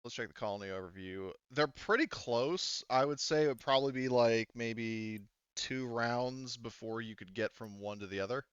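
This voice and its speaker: Smooth-voiced man running a tabletop game. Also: mid-range voice, moderate pace, dry recording, muffled recording. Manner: conversational, thinking aloud through rules. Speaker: game master.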